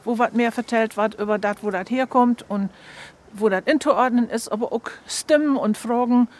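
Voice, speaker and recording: A woman with a Northern German, Low German accent, explaining a project in an interview as one of its members. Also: moderate pace, a little mellow.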